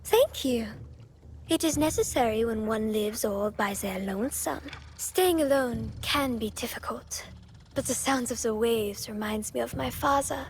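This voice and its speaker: A woman playing a sly, defiant young pirate's daughter in a video game, sharp-tongued and playfully sweet. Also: fairly high pitch, expressive, measured pace, slight background noise. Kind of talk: dramatic game voice acting.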